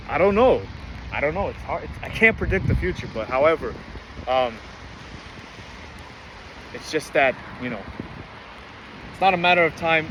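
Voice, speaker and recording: A nineteen-year-old man talks earnestly to the camera in a slightly high voice, rambling with lots of fillers and restarts. He is outside in the rain, and the recording is full of wind and outdoor noise. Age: late teens. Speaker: vlogger. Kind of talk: casual monologue to camera.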